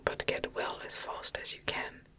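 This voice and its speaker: A woman reads a gothic novel in a soft, breathy ASMR whisper, with an unhurried, calming delivery. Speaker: audiobook reader.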